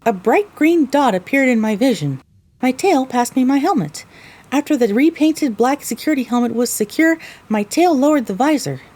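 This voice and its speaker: A woman's voice: high-pitched, lively intonation, moderate pace, darkish tone. A woman playing a young pony mare who narrates her own adventure in an audio drama. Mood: earnest, storytelling. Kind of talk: scripted first-person audio drama narration.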